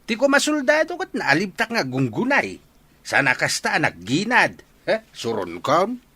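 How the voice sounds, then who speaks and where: A man playing an excitable villager in a comic radio drama, with an Ilocano accent. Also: sits high, normal speed, breathy edge, no room sound, exaggerated voice. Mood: animated.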